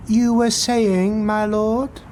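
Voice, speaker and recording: Man voicing a haughty, higher-pitched elf knight. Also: level tone, slow pace, mellow, slight background noise. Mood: taken aback. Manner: haughty, disdainful. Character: disdainful male dark elf knight, deferential to his lord. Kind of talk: fantasy audiobook character acting. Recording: music bed behind the voice.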